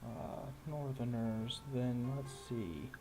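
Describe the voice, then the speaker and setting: Man playing a slow, pondering town official. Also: medium pitch, music in the background. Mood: helpful, measured. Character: unhurried, hesitant male town trade official.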